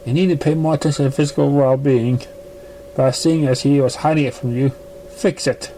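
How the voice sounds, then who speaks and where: Professional mare examiner read by a man for a storybook, businesslike, mellow tone, faint noise. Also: mid-range voice, fairly even intonation, brisk, natural voice, low-quality recording. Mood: firm. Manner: businesslike, matter-of-fact.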